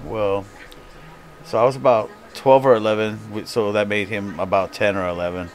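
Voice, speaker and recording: A man's voice: fairly low pitch, steady tone, normal speed. An American man casually telling a story on a live stream, swearing freely in a crude way. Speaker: video host and streamer. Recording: clearly noisy background.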